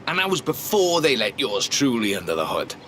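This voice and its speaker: A man's voice: medium pitch, sing-song, brisk, very noisy, close to an everyday voice. A man playing a breezy, self-satisfied car mechanic and drift club leader in a video game, with a wry, boastful manner. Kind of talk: video game character dialogue.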